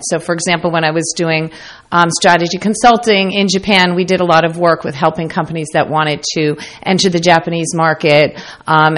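An American woman speaking conversationally as the guest in a radio interview. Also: fairly low pitch. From United States. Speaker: interview guest, company founder.

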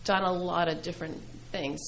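A middle-aged woman who grew up speaking Greek, a poet answering interview questions thoughtfully, with many pauses and fillers. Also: on the low side, fairly even intonation, slight background noise. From New England. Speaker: poet and filmmaker guest.

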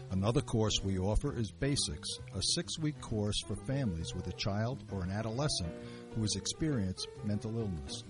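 A man reading a scripted public service announcement in an earnest, steady way. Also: on the low side.

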